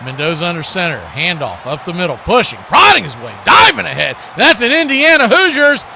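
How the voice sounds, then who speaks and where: A fairly high-voiced American man hosts a live football stream. He calls the game and chats in a folksy, conversational way. Clear ambient noise runs behind his voice. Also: wildly swooping pitch, a little fast, pushed and bright. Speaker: play-by-play sports commentator and stream host. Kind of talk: live American football commentary with casual chat.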